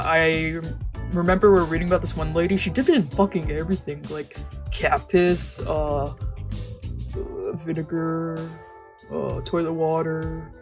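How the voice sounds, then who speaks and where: Young woman, low voice, casual slangy streamer, reading aloud and commenting, soft guitar music behind. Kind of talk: casual let's play commentary with read-aloud narration.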